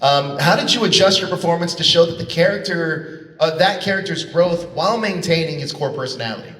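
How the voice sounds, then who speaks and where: A man's voice: on the high side, lively intonation, brisk, fairly bright tone. A man moderating a convention panel, posing interview questions to guests. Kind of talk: interview questions, reading out audience questions. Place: large convention panel hall. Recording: echoey hall, distant PA sound.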